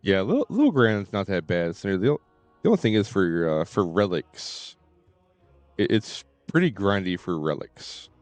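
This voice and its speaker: A man livestreams a game with casual, halting commentary as he thinks aloud. His voice is low and unhurried, recorded dry with faint music behind. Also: very animated, darkish tone, no room sound.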